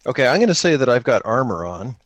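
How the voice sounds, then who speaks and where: Animated man bantering over a tabletop game. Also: medium pitch, fast talker, no room sound. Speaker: tabletop role-playing game player and podcaster. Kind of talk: casual improvised game chat.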